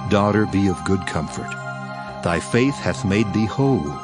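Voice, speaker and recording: An actor playing a calm, authoritative male teacher and healer, solemn and measured, in dramatized scripture. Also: low voice, music in the background. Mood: reassuring.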